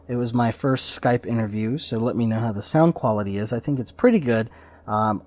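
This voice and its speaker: A man's voice: medium pitch, mellow. A man hosting a podcast, giving casual introductions in an informal, slightly rambling way. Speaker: podcast host. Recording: slightly dull lo-fi audio.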